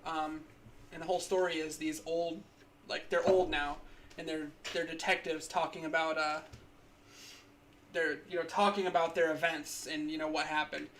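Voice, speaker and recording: A woman's voice: deep voice, flat delivery, moderate pace, a little hiss or hum, poor audio. A woman in her twenties co-hosting a casual podcast, rambling with lots of likes and ums. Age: 20s. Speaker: podcast co-host.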